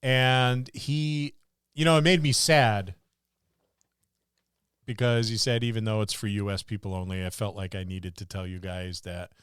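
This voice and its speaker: A man's voice: on the low side, fairly even intonation, unhurried, a bit bright, smooth, no room sound, studio quality. A man co-hosting a casual podcast about tabletop gaming, talking in a rambling, enthusiastic way. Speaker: podcast co-host.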